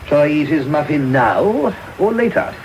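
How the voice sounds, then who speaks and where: A man playing a fussy, impatient older gentleman in a radio drama. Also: fairly high pitch, sing-song, moderate pace, highs cut off. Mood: peevish. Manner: fussy, questioning. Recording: noisy off-air medium wave radio recording.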